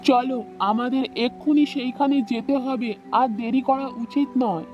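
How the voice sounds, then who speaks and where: A stern cartoon guru acted by a woman, commanding and solemn like an exorcist at work. The voice sits high for an adult and has music behind it. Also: fairly even intonation, moderate pace, highs cut off, close to an everyday voice. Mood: resolute. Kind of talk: children's cartoon voice acting.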